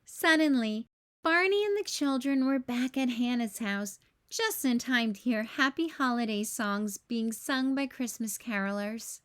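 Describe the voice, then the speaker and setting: A woman hosting a read-aloud, narrating a children's storybook in an expressive, careful storytelling style. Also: on the high side, unhurried.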